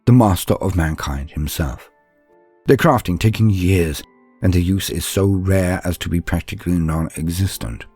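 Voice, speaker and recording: A man with a deep voice that swings widely in pitch as he narrates lore and stories. His delivery is formal and theatrical, with a grandiose turn to each phrase. Music plays along behind him. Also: a little mellow, husky, dry recording. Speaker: host of a lore channel and storyteller. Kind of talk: scripted lore narration and dramatic storytelling.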